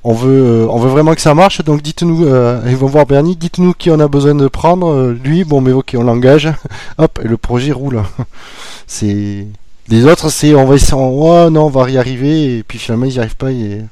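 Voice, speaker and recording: A man's voice: medium pitch, normal speed, dry recording. Laid-back man, dry close sound. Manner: relaxed, laughs often, trails off. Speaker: podcast co-host. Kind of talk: casual motorsport chat.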